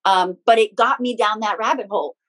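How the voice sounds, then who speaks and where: Middle-aged woman, talking openly and chattily as a guest on a podcast interview. Her voice sits at an ordinary pitch for a woman, neither high nor low. She tells her story in a loose, conversational way. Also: brisk, low-quality recording. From Philippines. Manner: chatty, frank, personal anecdotes with small laughs. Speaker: guest, spiritual teacher and author.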